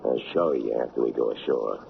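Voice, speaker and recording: Radio drama: scheming male crook, gruff and gravelly, slangy, noisy vintage recording. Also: extremely low pitch, fairly quick, exaggerated voice. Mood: sly, confident. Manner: clipped, slangy, tough talk. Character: gruff, scheming male drug smuggler, sweating and impatient. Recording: scratchy narrow-band vintage radio recording.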